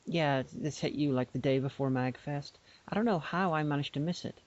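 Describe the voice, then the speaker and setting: A woman's voice: low voice, fairly quick, darkish tone, dry recording. A woman co-hosting a podcast, playful and rambling, often restarting her sentences in casual chat. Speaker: podcast co-host. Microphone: remote call microphone. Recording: dull lo-fi online call audio.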